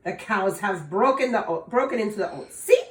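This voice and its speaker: A tiny, sly old leprechaun snaps and wheedles, played by a woman reading a picture book aloud. His lines bounce around with lively pitch. The room around him rings with echo. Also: a little slow, distant mic. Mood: sharp scolding. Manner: sharp, wheedling, loud. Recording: echoey room.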